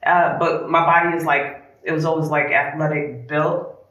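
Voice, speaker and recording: A woman's voice: sits low, little pitch movement, moderate pace, a bit bright, muffled recording. A woman born in Trinidad, a spa co-owner, chatting frankly and reflectively in a casual interview. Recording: echoey room.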